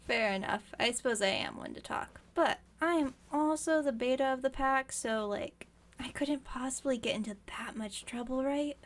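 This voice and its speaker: Casting: female performer for young werewolf roommate in comfort ASMR, higher-pitched, teasing and caring, rambles through jokes, gently bossy. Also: moderate pace, lightly acted. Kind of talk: ASMR roleplay, intimate comforting monologue.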